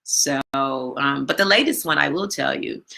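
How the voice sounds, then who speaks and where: A woman hosting a live stream from home, chatting casually with lots of fillers and a big, loud voice. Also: very deep voice, animated, a little slow, vocal fry, low-quality recording. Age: adult with a daughter. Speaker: live stream show host. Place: home, not her usual studio.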